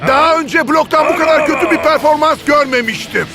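An actor playing an excitable male football commentator in a cartoon. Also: very high-pitched, a little fast, over music. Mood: excited. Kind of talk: sports commentary.

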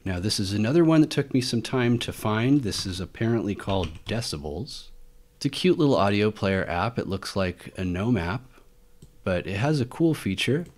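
A man with a low-pitched voice narrates a screen recording as the host of a tech hobby channel. He talks in an informal, rambling way, thinking out loud as he goes. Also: lively intonation, moderate pace. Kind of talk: casual screen-recording walkthrough. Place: indoor voice-over for a screen recording.

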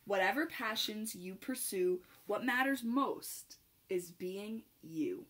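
A young adult woman reading a rhyming picture book aloud to children in an expressive, sing-song manner with clear phrasing. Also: medium pitch, slow. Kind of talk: children's read-aloud, rhymed storytelling.

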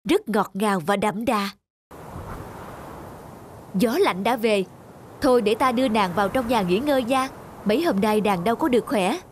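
A woman's voice: mid-range voice, normal speed, long pauses, slight background noise, natural voice. A woman with a Southern Vietnamese accent voicing a devoted young husband, tender and earnest, in a cartoon folk tale. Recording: music behind the voice.

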